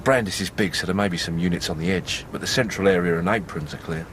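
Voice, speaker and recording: An actor in a radio drama playing a dutiful, cautious British lieutenant who reports in a matter-of-fact way. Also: male voice, sits low, fast talker, background noise, close to an everyday voice. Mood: businesslike.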